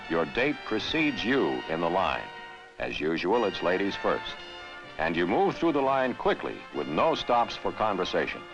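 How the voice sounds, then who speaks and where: A man's voice: low-pitched, dull audio. A man narrating a 1960s instructional film in a wry, conversational lecturing manner. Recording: band-limited 1960s film soundtrack, music behind. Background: background music.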